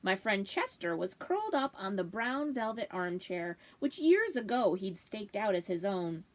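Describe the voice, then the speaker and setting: A woman reading a story aloud in an expressive, animated storybook style. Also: mid-range voice, a little slow. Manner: animated, acting out dialogue. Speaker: librarian reading aloud to children.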